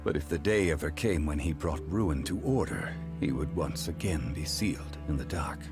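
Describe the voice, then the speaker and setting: A man voicing an ancient, dignified male god in a video game, speaking in a calm, composed and reflective way. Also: a bass voice, normal speed, over loud music.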